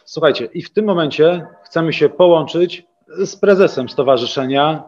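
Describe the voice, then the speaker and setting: A man's voice: fairly high pitch, normal speed, a little mellow, muffled recording. A man in his thirties co-hosting a live festival stream from a studio, upbeat and finishing his partner's sentences. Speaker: festival co-host. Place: studio set in an institute.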